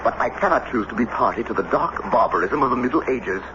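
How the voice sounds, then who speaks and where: A man's voice: medium pitch, lively intonation, quick pace, lo-fi sound, close to an everyday voice. An actor playing a pompous, stubborn American statesman, formal and oratorical, in a radio drama. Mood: solemn, aggrieved. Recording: noisy, band-limited old radio broadcast.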